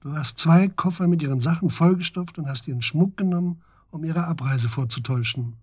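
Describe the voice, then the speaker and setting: Earnest investigator, husky, close dry studio sound, pressing, deliberate, radio play. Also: male voice, a little high, a little mellow, no echo. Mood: pressing, worried. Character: grave, pressing male investigator confronting his friend. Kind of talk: German radio drama acting.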